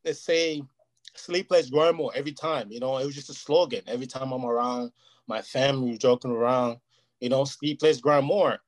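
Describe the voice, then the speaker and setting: A man's voice: mid-range voice, fairly even intonation, moderate pace. Earnest man, Portuguese his first language. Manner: earnest, rambling, keeps asking "you know what I mean". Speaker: interview guest. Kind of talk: casual interview chat.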